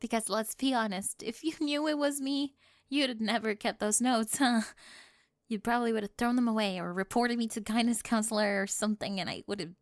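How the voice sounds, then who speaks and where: A woman voicing a flustered, defensive teenage cheerleader bully with a secret crush in an ASMR roleplay, halting and nervous, often dropping toward a whisper. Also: on the high side, fairly even intonation, dry recording, studio quality, close to an everyday voice. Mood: vulnerable, regretful. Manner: halting, self-interrupting, nervous laughs, drops into whispers.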